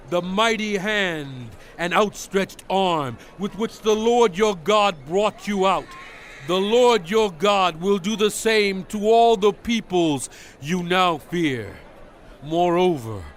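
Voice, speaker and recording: Stern prophet, slow deliberate delivery, higher-set male voice, fervent, emphatic, audio Bible drama, music underneath. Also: a little hiss or hum. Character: solemn, commanding male prophet addressing his people, stern and fervent. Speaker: dramatized scripture narrator.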